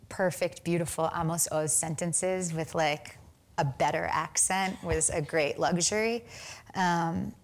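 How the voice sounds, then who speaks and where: Young american woman, kinda low voice, lots of ums and restarts, thinking out loud in an interview. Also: gravelly. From United States. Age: young adult. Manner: hesitant, many fillers and restarts. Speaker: film director, interview guest. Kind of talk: reflective interview answers.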